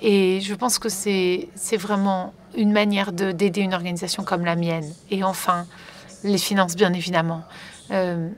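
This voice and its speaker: A woman's voice: lowish voice, little pitch movement, unhurried. A woman working as a conference interpreter, relaying a panel live with frequent fillers and restarts. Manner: hesitant, many fillers and restarts.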